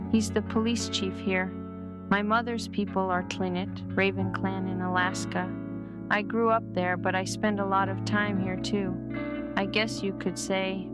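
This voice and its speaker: A woman playing a calm, wise and candid character in a scripted drama. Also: medium pitch, close to an everyday voice. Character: calm, wise, candid Native Alaskan police chief's daughter. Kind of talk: scripted audio drama dialogue. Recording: loud guitar music under the voice.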